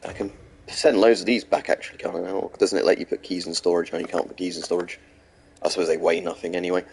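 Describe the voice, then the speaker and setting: A man's voice: low-pitched, flat delivery, quick pace, vocal fry, a little mellow, slight background noise. A man streaming a video game, casually chatting with viewers and hesitating often, with a slight sore throat and a blocked nose. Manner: rambling, answers chat questions between gameplay remarks; hesitant, many ums and restarts. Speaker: gaming streamer. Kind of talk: casual live-stream commentary while playing.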